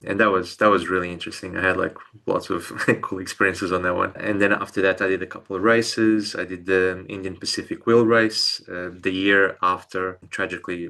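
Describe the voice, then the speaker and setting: A man's voice: sits low, fairly even intonation, normal speed, vocal fry, pushed and bright. A young man from Portugal, a podcast guest telling bike travel stories in a hesitant way, often repeating words. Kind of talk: casual storytelling interview.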